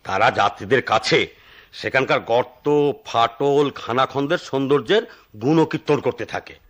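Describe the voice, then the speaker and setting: A man's voice: medium pitch, moderate pace, a bit bright, lightly acted. An actor playing an eccentric, talkative retired railway switchman in a radio drama, with a theatrical storyteller's manner. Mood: animated storytelling.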